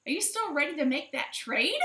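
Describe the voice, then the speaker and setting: A woman voicing an excited, delighted storybook hen with emphatic exclamations. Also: sits high, brisk, reverberant, pitched above the performer's own voice, full character voice. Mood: eager. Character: excited, delighted female chicken, eager to trade.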